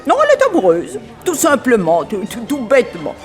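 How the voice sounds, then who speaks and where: Theatrical society widow, swooping high voice. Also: female voice, huge pitch swings, a little fast. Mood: lovestruck confiding. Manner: haughty, theatrical, effusive. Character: fifty-year-old English society widow, flustered, lovestruck aunt. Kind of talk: 1960s French radio play acting. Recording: old radio drama with dance music and crowd.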